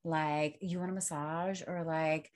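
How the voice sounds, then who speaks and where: A middle-aged woman with family roots in Puerto Rico and the Dominican Republic, chatting casually and telling stories from her family life in a reflective, animated way. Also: sits low, measured pace. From Puerto Rican and Dominican family roots. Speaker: co-host. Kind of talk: casual chat.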